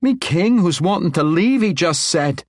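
A proud young dwarf general woman, played by a male reader, pitched very high with a firm brogue. She presses her claim bluntly and stands her ground, in a close, dry audiobook sound. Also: lively intonation, moderate pace, dry recording. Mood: pressing.

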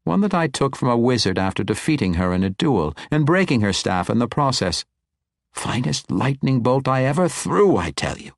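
A man voicing an eccentric, kindly old wizard in an audiobook, animated, rambling and full of exclamations. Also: mid-range voice, normal speed, gravelly, no echo, studio quality, pitched above the performer's own voice. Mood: boastful.

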